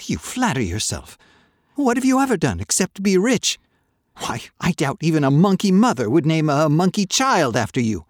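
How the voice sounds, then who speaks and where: Man reading as an earnest, touchy apprentice boy; raised pitch. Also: very animated, darkish tone, dry recording, studio quality, full character voice. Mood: angry. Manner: earnest, quick-tempered. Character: proud, poor young apprentice boy.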